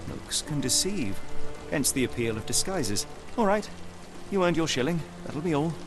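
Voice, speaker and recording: A man's voice: on the high side, very animated, normal speed, over music. A man playing a brilliant, sardonic British detective in a video game, speaking in a precise, pompous manner. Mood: inquisitive. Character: brilliant, haughty young male detective, impatient and sardonic. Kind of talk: video game cutscene acting.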